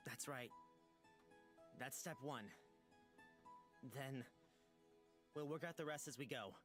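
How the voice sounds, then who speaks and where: Woman putting on earnest young male traveller, visual novel, idealistic, hesitant, lowered voice, music behind. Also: slow. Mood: earnest, determined. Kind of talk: visual novel character reading.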